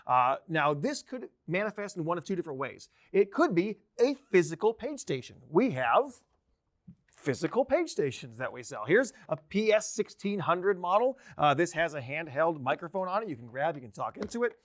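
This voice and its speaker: Male instructor, thirties, slightly high-pitched voice; casual explanatory delivery with a playful streak, for training videos. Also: sing-song, normal speed. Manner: conversational, explanatory, with playful asides. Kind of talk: informal instructional presentation to camera. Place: indoor training video studio, seated at a desk. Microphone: lavalier clipped to shirt.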